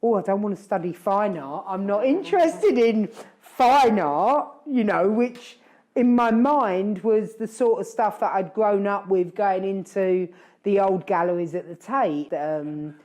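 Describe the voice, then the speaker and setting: A woman host in a relaxed chat. She thinks out loud, circles back and corrects herself as she goes, at an ordinary middle pitch. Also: a little slow. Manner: rambling, self-correcting, reflective. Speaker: podcast host. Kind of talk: casual chat.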